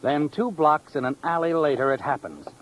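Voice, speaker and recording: An actor playing an ominous, knowing storyteller, narrating a 1950s radio mystery with sardonic, suspenseful phrasing. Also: male voice, on the high side, lively intonation, moderate pace, slight background noise, natural voice, rough recording. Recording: old radio recording, music behind.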